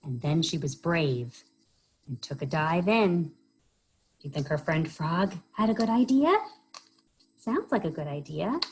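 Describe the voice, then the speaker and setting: A woman reading a children's story aloud as a library storyteller, expressive and often pausing to put questions to young listeners. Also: low-pitched.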